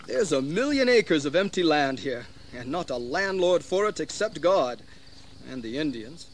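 A shrewd colonial schemer, a little high in pitch with lively swings. He persuades slyly and theatrically in a history radio drama, with faint noise behind him. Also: normal speed. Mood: sly persuasion. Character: shrewd, scheming colonial frontiersman urging rebellion. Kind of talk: dramatized history audio acting.

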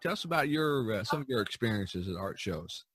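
Husky-voiced man hosting a podcast. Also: lowish voice, sing-song, fairly quick, fairly bright tone, no room sound. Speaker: podcast host. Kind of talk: casual podcast talk. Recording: poor internet-call audio, faint music.

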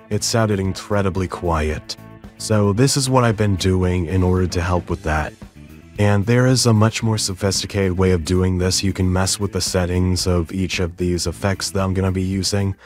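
Male tutorial host, fairly deep voice, casual and instructional delivery, faint music underneath. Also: darkish tone. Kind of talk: step-by-step software tutorial narration.

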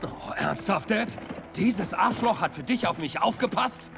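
A brash young soldier from a video game. His voice sits a little high and carries a wry, impatient edge. Music plays clearly behind him. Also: expressive, a little slow, full character voice. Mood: irritated, wry. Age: young adult. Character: brash young soldier son, irritated and cheeky.

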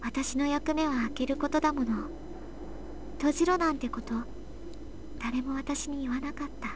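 An actress playing a small, wistful and fatalistic girl with an uncanny calm in a Japanese visual novel. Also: high-pitched, quick pace, background noise, close to an everyday voice. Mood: detached disbelief.

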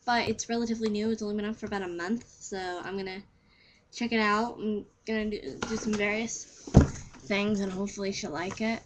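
A woman's voice: mid-range voice, steady tone, brisk, noisy background. A teenage girl chatting casually to camera in an offhand, self-deprecating way. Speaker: amateur voice actor auditioning. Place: small bedroom, indoors. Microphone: webcam at arm's length.

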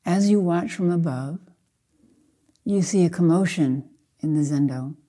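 Casting: older female meditation teacher, very dark, mellow tone, soft and husky, gentle measured phrasing with long pauses. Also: on the low side. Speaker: Zen teacher leading a guided meditation. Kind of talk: slow guided meditation.

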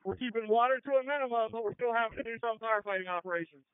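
A man's voice: extremely high pitch, rapid-fire, clean tone, no echo. A man speaking as a fire battalion chief in terse, urgent, clipped radio traffic over a two-way radio. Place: at a fire and collapse scene. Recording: phone-like fire radio channel.

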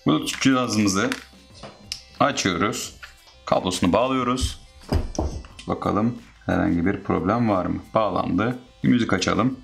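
A man's voice: on the low side, unhurried, vocal fry, pauses often, fairly bright tone, faint music behind. A man narrating a casual step-by-step how-to as a DIY host.

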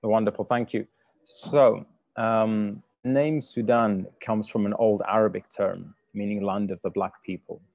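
A man's voice: on the low side, steady tone, measured pace, vocal fry, darkish tone, no echo. A man who grew up in the UK, a cook giving a polite presentation on a video call, with many fillers and restarts. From United Kingdom. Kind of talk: webinar slide talk. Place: home room on a video call.

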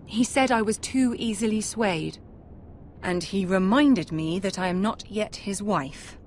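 An actress playing a poised, cautious young noblewoman in a fantasy video game, measured and formal in manner. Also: mid-range voice, a little mellow, a little hiss or hum, lightly acted. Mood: cool, weary. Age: young adult. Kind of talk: dramatic video game acting.

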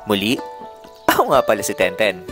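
Young male narrator, calm poetic voice-over, lively intonation, over music. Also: on the low side, normal speed. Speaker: vlogger narrating his trip. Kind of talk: scripted reflective voice-over narration. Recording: voice-over with loud music behind.

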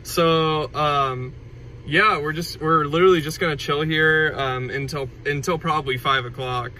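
A man's voice: on the high side, steady tone, unhurried, bright, forceful tone, slight background noise. A young man from Kansas chatting casually into a phone held at arm's length, rambling with lots of fillers and restarts. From Kansas, USA. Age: young adult. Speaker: vlogger. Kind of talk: casual vlog chat. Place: street outdoors, then inside a parked car.

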